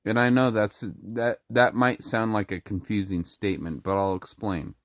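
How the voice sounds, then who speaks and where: Vlogger, speaks with a very low, deep voice, slightly sick and clearing the throat, halting delivery full of restarts, dry close sound. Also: male voice, no room sound. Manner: halting, many restarts and fillers. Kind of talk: casual monologue to camera.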